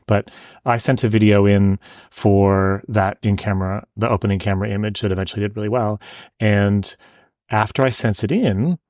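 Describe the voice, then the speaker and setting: A man's voice: lowish voice, steady tone, normal speed, darkish tone, no echo. A man from Canada with an American Denver accent, a podcast guest chatting casually and self-deprecatingly about his work. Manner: self-deprecating, laughing often. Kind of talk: casual interview chat. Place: home, evening, remote call.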